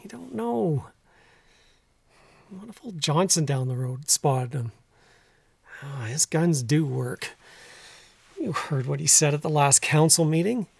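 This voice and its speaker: A middle aged canadian country husband, troubled and halting, plain folksy talk, really dry sound, radio play. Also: male voice, fairly high pitch, creaky, a little mellow, breathy edge, no echo, full character voice. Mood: uneasy, probing. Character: troubled, conscience-stricken middle-aged rural husband and father. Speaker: actor. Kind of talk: naturalistic audio drama acting.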